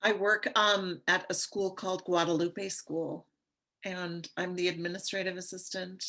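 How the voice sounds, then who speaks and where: A woman's voice: lowish voice, level tone, measured pace, bright, forceful tone. A woman, an actress on a cast panel, talking casually about herself. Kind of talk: casual chat.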